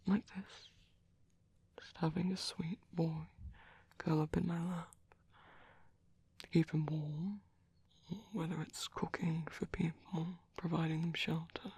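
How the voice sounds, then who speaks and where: A man playing a gentle, caring vampire priest in a whispered ASMR roleplay, speaking slowly and tenderly with soft, hesitant reassurance. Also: on the high side, exaggerated voice. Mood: warm, nurturing. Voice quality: soft, breathy, husky whisper.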